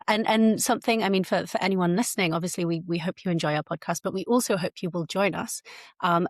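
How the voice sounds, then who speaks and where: A woman co-hosting a tech podcast, talking in a friendly, upbeat and lively way. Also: on the low side, no echo, clean studio sound. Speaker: podcast co-host. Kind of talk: casual podcast chat.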